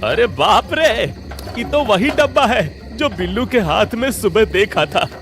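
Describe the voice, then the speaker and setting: A man voicing an excitable, suspicious old village uncle in an animated Hindi cartoon story, alarmed and gullible. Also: high-pitched, measured pace, background noise, exaggerated voice.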